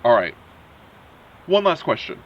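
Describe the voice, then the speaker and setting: A man's voice: mid-range voice, sing-song, a little slow, lo-fi sound, a little hiss or hum. A man playing an undercover agent who poses as a polite, persistent reporter, probing skeptically in an audio drama.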